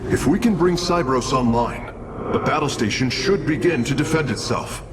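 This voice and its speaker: A man's voice: mid-range voice, lively intonation, normal speed, very noisy, natural voice. An actor playing an analytical, precise male alien engineer in a video game, speaking formally. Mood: cautious. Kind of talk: game cutscene voice acting.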